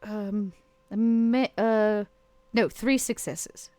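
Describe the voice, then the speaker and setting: A woman's voice: mid-range voice, steady tone, very slow, warm tone, no echo. A woman speaking casually as a player in a tabletop roleplaying game.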